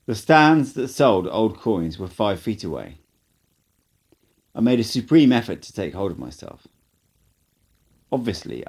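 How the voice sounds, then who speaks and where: A man narrates a first-person tale in a lowish voice that swings widely in pitch. He reads as a hobby audiobook narrator, with an expressive, dramatic delivery. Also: husky. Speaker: amateur audiobook reader.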